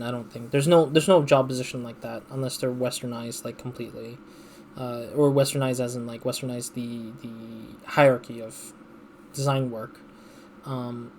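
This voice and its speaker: A man gives casual game commentary in a mid-pitched voice. He rambles and thinks aloud as he plays, with faint noise in the background. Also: darkish tone. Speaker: let's play host.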